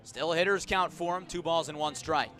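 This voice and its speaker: Bright, high-pitched male baseball play-by-play announcer. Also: some chatter behind. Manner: measured between pitches, swelling into emphatic calls on each play. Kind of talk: live sports radio commentary.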